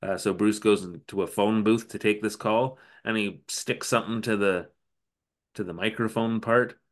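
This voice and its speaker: A man with a slightly low voice talks through a recap with dry sarcasm, as if chatting with a friend on a podcast. The pace is loose and conversational, and he drops in mocking quotes of the characters. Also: fairly even intonation, moderate pace. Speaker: podcast co-host. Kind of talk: casual recap chat.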